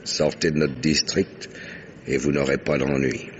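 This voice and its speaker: An actor playing a gruff, threatening police lieutenant with a curt, bullying manner in a French radio crime drama. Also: male voice, rumbling low, level tone, a little slow, slight background noise. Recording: dull, lo-fi old radio recording.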